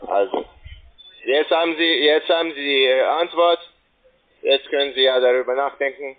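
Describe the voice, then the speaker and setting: Halting salesman on a tinny phone line. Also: mid-range voice. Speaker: call centre agent selling trading investments. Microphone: phone call.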